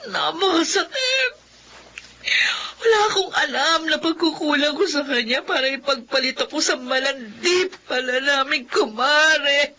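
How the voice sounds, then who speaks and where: An actress playing a drunk, heartbroken wife in a radio drama, sobbing and shouting in anguish. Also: female voice, sits high, a little slow, a little hiss or hum. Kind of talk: Filipino radio drama acting.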